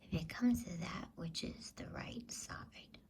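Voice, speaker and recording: A woman voicing a sceptical, grumbling boy in a breathy whisper for a storybook reading. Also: lowish voice, slow pace. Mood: suspicious, grumbling.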